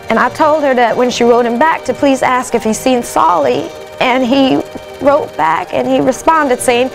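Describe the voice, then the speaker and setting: An actress, speaking in a halting, earnest film monologue. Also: female voice, high voice, low-quality recording. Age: 20s. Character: anxious young 1940s woman awaiting news of a loved one. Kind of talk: film monologue as 1940s interview.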